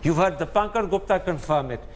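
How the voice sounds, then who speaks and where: A man's voice: fairly high pitch, expressive, fairly quick, slight background noise. A man speaking as a panelist in a televised debate, lecturing insistently.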